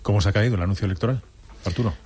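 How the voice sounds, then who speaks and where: A man hosting a radio talk show in a brisk, conversational way. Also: fairly low pitch, a little mellow, slight background noise. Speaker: radio host.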